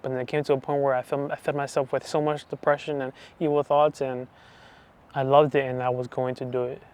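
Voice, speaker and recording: A man in his twenties giving a personal faith testimony, talking softly and hesitantly with many restarts. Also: mid-range voice, flat delivery, a little fast. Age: 20s. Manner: soft, hesitant, full of restarts and fillers. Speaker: channel host giving testimony.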